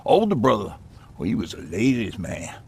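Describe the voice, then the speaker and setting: Game role: haunted yet easygoing older male survivor, husky and lively in pitch, chatty storyteller. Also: normal speed, heavily acted. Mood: wistful. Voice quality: gravelly, raspy. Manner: folksy, lighthearted, chatty. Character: folksy aging male survivor, lighthearted but haunted. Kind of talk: game voice acting, reminiscing dialogue.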